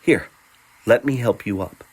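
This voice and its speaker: A man voicing a gentle, protective young man who speaks in a reassuring, earnest, casual way in a fantasy audio story. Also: fairly low pitch, a little slow, slightly rough. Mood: concerned.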